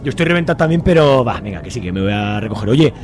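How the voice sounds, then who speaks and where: A man with an accent from Spain playing a cheeky, excitable, exaggerating young partygoer in an amateur radio soap. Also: lowish voice, quick pace, a crowd in the background. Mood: merry, teasing. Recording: music behind the voice.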